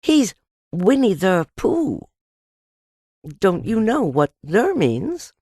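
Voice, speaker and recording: Lady doing an eager polite little boy for a kids audiobook, high voice, earnest and wheedling. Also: sing-song, very slow, dark tone, no echo, studio quality, heavily acted. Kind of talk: children's storybook character reading.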